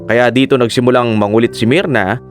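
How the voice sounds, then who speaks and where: A man in his thirties playing a hardworking fisherman and father, narrating his own story in a measured, reflective radio-drama voice. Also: medium pitch, steady tone, lightly acted. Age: 30s. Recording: narration over clear piano music.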